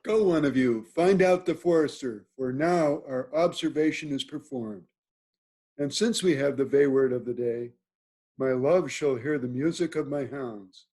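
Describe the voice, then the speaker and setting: A man's voice: mid-range voice, unhurried, dark tone, natural voice. A man playing a noble, commanding duke in a Shakespeare table read, speaking formal, declamatory verse. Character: noble duke, commanding and at ease, ordering his hunt. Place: video call.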